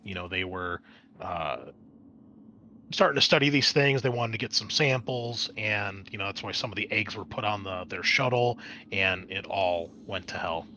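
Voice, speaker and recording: A man's voice: fairly low pitch, normal speed, sharp tone, a little hiss or hum. A man narrating a tabletop roleplaying game as game master, in an explanatory, conversational way.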